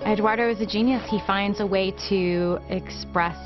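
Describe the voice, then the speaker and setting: A woman's voice: mid-range voice, fairly even intonation, normal speed. A woman giving commentary in an interview. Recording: dull sound under clear music.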